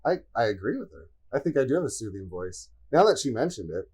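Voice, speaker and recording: A man's voice: mid-range voice, quick pace, slightly rough. A man co-hosting a casual podcast chat, telling easygoing stories and laughing easily. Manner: relaxed storytelling, easy laugh. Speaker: podcast co-host.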